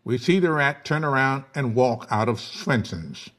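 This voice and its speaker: A man's voice: medium pitch, lively intonation, a little slow, fairly bright tone. A man narrating a screenplay, reading out stage directions at a table read.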